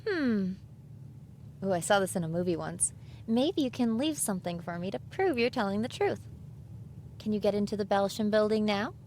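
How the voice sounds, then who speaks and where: A woman's voice: medium pitch, expressive, normal speed, darkish tone, a little hiss or hum, natural voice. A woman playing a sceptical, sarcastic seventeen-year-old modern girl in an audio drama. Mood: sly, testing. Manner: sarcastic, dismissive.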